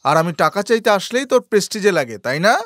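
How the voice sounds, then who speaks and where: A man's voice: high voice, very animated, fairly bright tone, a little hiss or hum, voice pushed up. Sneering village moneylender played by a man. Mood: mocking anger. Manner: taunting, sarcastic, drawn-out jeering questions. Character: nagging, mocking village landlord moneylender, a bullying man. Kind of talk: cartoon villain acting.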